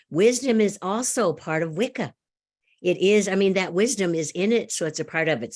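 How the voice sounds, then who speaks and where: A middle-aged woman giving a sermon, loud and animated, laughing often and telling a story. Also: on the low side. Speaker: speaker at a church service.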